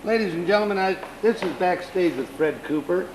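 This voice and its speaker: A man hosting a backstage interview, chatty and encouraging, his voice hoarse because he has lost it. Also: high voice, a little fast, a crowd in the background, low-quality recording. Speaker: interview show host.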